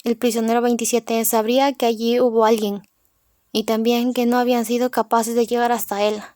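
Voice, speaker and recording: A woman's voice: a little high, level tone, brisk, warm tone, no echo, studio quality. A woman reading a novel aloud in the first person as an audiobook narrator.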